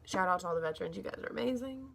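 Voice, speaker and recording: A woman's voice: mid-range voice, lively intonation, racing, gravelly, a bit bright. Young woman with a scratchy throat. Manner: rambling, says like a lot, restarts often. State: scratchy, slightly gravelly throat. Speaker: book reviewer. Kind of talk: casual chatty book review vlog.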